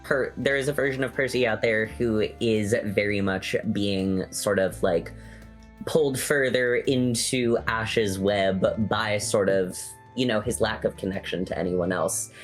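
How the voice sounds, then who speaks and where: A man's voice: on the low side, unhurried. Thoughtful, analytical man in casual discussion. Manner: thoughtful, analytical, hedging with many asides. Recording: background music under the voice.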